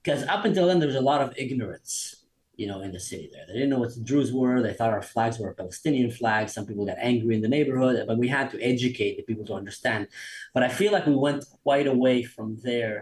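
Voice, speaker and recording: A man from Israel with an Israeli accent, whose first language is Arabic, telling stories as an interview guest in a lively, quick way with many restarts. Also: mid-range voice, rough recording. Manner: animated, fast storytelling with many restarts. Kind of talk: conversational interview.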